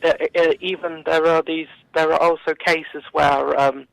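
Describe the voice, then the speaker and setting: Male radio interview guest, heavy vocal fry, little pitch movement, hesitant campaigner delivery. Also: level tone, moderate pace, fairly bright tone, no echo, poor audio. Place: radio studio.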